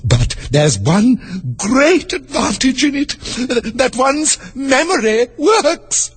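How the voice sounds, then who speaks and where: A man voicing a flustered, scatterbrained old queen in a storybook reading, high and quavering, stammering and drawn out. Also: sing-song, breathy edge, exaggerated voice. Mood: dithering. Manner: drawn-out dithering, stammering, bursting into squeals.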